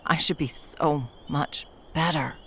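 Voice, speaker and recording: A woman's voice: low voice, steady tone, slow pace, fairly bright tone, slight background noise, exaggerated voice. A woman voicing a centuries-old female monster hunter in an ASMR roleplay. She talks bluntly and swears a lot, then drops into tender murmurs. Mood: remorseful.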